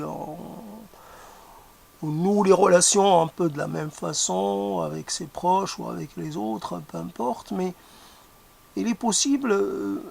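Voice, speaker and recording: A non-native French speaker thinks aloud in a slow, gravelly voice with a lot of vocal fry. The delivery is halting, full of pauses, fillers and restarts while searching for words. The tone is reflective, like musing in an interview. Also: mid-range voice. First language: not French.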